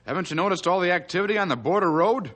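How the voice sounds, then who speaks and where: Bright, animated nosy townsman. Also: high voice, rapid-fire. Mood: concerned. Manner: insistent, emphatic. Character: nosy, concerned small-town townsman. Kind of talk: radio adventure drama acting.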